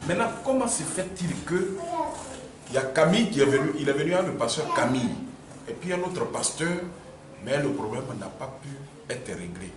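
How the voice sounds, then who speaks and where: A man recounting a church dispute in an animated storytelling manner, repeating himself as he goes. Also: medium pitch, normal speed. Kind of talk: spontaneous spoken account. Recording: echoey room, distant mic, background noise. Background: ambient room noise.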